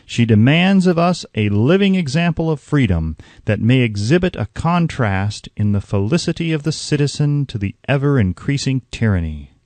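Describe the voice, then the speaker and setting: A man's voice: mid-range voice, very animated, moderate pace, hardly any pauses, a little mellow, no echo, pitched above the performer's own voice, lightly acted. A man voicing an 18th-century statesman making a formal, fervent speech in an audiobook. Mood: urgent, fervent.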